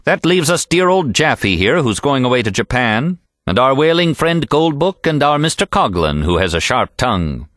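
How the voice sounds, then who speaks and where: A man voicing a pompous, sharp-tongued male poet in an audiobook, declamatory, sneering and boastful. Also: medium pitch, very animated, normal speed, few pauses, no echo, studio quality, voice pushed up. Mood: boastful, sardonic. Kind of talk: audiobook character reading.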